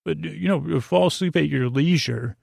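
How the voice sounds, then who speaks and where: A man hosting a sleep podcast, giving a meandering, halting bedtime monologue that drifts off into absurd tangents, with a creaky voice. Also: fairly high pitch, darkish tone, dry recording, clean studio sound. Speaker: podcast host. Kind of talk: rambling, low-stakes bedtime monologue meant to lull listeners to sleep.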